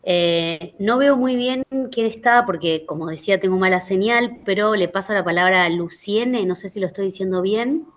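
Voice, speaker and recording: A woman's voice: medium pitch, moderate pace, hardly any pauses. A woman researcher introducing herself on a video call, hesitant and trailing off, over a bad connection. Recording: poor connection.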